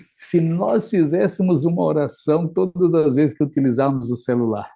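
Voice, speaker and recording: Pastor, man, deep mellow tone, earnest exhortation over a call. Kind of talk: sermon close.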